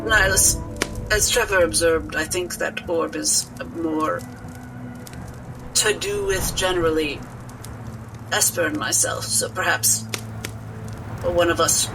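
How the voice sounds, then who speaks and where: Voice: mid-range voice, steady tone, takes it slowly, gravelly, long pauses, over music, low-quality recording. A player speaking in character in a formal, articulate, lecturing way. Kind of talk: in-character tabletop play.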